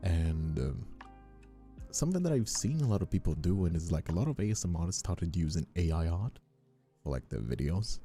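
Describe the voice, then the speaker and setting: Male streamer, mellow and very low-voiced, for loose, earnest, off-the-cuff chat with lively inflection. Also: a little fast. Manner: hesitant, repetitive, informal, earnest. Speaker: ASMR creator and streamer hosting a chat. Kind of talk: casual rambling livestream chat. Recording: faint music behind the voice. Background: faint music.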